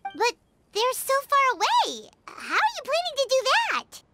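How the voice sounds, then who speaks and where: Squeaky, bright, excitable childlike fairy. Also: female voice, unhurried, faint music behind, full character voice. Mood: nervous. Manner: refers to self in third person, exclaiming. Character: small childlike floating fairy companion, excitable and nervous. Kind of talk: anime video game voice acting.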